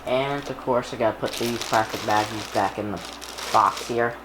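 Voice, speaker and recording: A man's voice: on the low side, steady tone, normal speed, background noise. A man giving casual, hands-on unboxing commentary, informally talking through each small action as he does it. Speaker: hobbyist video creator presenting an unboxing.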